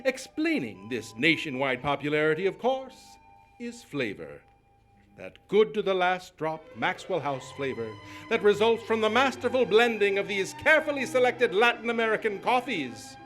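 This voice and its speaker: A man's voice: high voice, sing-song, moderate pace, fairly bright tone, soft background music. A man playing a polished, enthusiastic 1940s-style radio announcer, declamatory and emphatic. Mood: smooth, selling. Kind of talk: 1940s radio advertising and narration.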